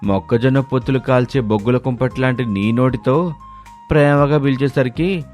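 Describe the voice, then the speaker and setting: A man's voice: medium pitch, moderate pace, darkish tone, faint music behind, close to an everyday voice. A man playing a teasing, suspicious young village husband in a comic story. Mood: mocking.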